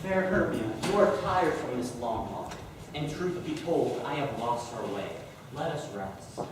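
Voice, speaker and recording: A man's voice: mid-range voice, animated, a little slow, close to an everyday voice. A man playing an ardent young Athenian lover in a stage play, declaiming passionately, recorded from far off in a noisy echoey hall. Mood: tender. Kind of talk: amateur stage play acting.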